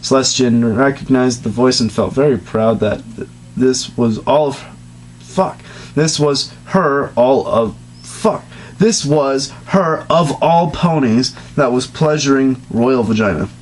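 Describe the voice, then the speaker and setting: A man giving a comedic dramatic reading of a written story, mostly deadpan and now and then cracking into high laughter. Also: slow, a little hiss or hum, rough recording. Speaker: dramatic reader.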